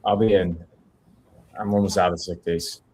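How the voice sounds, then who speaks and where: A man reading the part of a weary, wry newspaper proofreader at a film script table read, in a low-key conversational way. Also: little pitch movement, moderate pace, darkish tone, slightly rough. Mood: tired, dry. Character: Cassidy, weary, lonely male newspaper proofreader, wryly curious.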